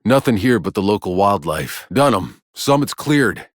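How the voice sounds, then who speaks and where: A man's voice: on the low side, animated, normal speed, no echo. A man playing a cynical, tough soldier in a sci-fi video game, with a gritty voice and dry wisecracks. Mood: dry, sardonic. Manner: sardonic, swearing, dry wisecracks. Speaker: video game player character voice. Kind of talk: scripted video game voice lines and combat barks.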